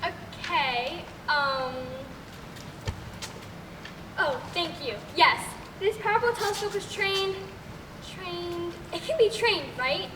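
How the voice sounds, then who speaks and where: A young woman playing a clueless veteran astronomer in a stage comedy, halting and stiff as she recites. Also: extremely high pitch, lively intonation, exaggerated voice. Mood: muddled, cheerful. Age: young adult. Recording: echoey noisy hall, far mic.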